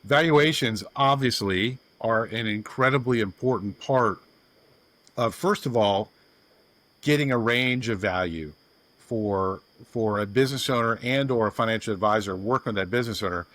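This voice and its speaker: A man's voice: on the low side, moderate pace, fairly bright tone, no echo. A man hosting a podcast in a folksy, rambling way, introducing his guests. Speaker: podcast host.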